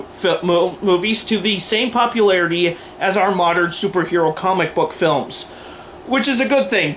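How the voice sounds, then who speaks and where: A man's voice: high voice, fairly bright tone, a little hiss or hum. A man delivering opinion commentary to camera in an emphatic, wordy, informal way, like a host going through a list. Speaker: video host and aspiring filmmaker.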